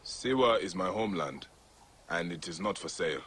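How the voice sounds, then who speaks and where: An actor playing a stern, righteous warrior hero in a video game, intense and formal in phrasing. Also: male voice, sits low, expressive, normal speed, fairly bright tone, husky, exaggerated voice. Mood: wary. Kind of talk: video game character acting.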